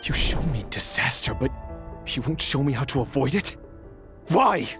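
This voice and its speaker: A man's voice: medium pitch, lively intonation, moderate pace, music in the background, close to an everyday voice. An actor playing an anguished, devoted young man in a fantasy video game, speaking earnestly and intensely in formal phrases. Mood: anguished, accusing. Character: anguished, devoted young male fantasy hero, desperate and defiant. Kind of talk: dramatic video game acting and narration.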